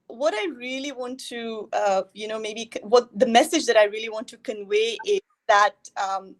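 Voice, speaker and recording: A woman's voice: a little high, moderate pace. A woman from India, a guest in a casual interview over a video call, talking hesitantly with many fillers.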